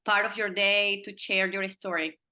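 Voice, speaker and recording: Bright-voiced woman hosting a podcast. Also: medium pitch, little pitch movement, moderate pace. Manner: encouraging, rambling questions with restarts. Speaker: podcast host. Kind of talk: interview questions.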